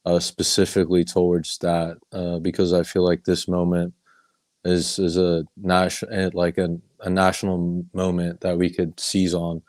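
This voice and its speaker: A man with a very deep, flat voice speaks on a video call, hesitant and restarting often. The sound is dry and close. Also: moderate pace, no room sound. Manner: hesitant, many fillers and restarts. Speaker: student debt organizer. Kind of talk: panel introduction.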